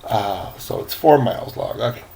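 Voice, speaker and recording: A man's voice: on the low side, expressive, normal speed, creaky, warm tone. An older man, a grandfather, narrating a storybook informally, with casual asides and self-corrections. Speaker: grandfather reading a book aloud.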